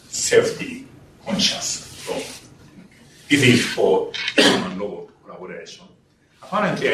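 Older male engineering lecturer, low voice, non-native English phrasing, heard far off in an echoey hall. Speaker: engineering professor giving a lecture. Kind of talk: academic lecture. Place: lecture room. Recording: roomy, distant lecture hall capture with faint room noise.

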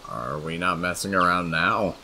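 A man's voice: low-pitched, moderate pace, pushed and bright, slight background noise, heavily acted. A man voicing a serious, grumpy male snake in a comic dub, with a dry, dramatic, stern manner. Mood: dry, puzzled. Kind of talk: comic dub character acting.

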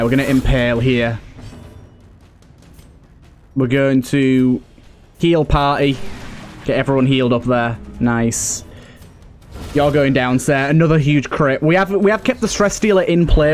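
A man giving casual commentary as he plays a video game, as host of a let's play. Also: mid-range voice.

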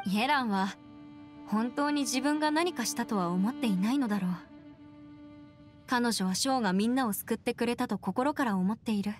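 A woman's voice: a little high, normal speed, soft background music, lightly acted. A woman playing an earnest, caring young female advocate in an anime game, articulate, with formal phrasing. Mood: thoughtful.

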